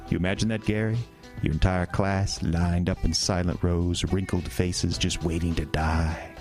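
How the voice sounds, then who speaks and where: An actor playing a sinister, smooth-talking male carnival showman in a horror audio drama, with a deep, coaxing, theatrical delivery. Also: level tone, moderate pace, a little mellow, soft background music, lightly acted. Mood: persuasive. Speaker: carnival owner.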